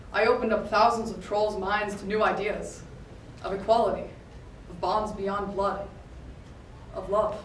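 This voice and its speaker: A woman acts out a gentle revolutionary troll preacher, a male martyr speaking with earnest, measured idealism in a theatrical monologue. The sound is distant and echoey, with faint noise. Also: mid-range voice, lightly acted. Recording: echoey convention room, distant mic, noisy.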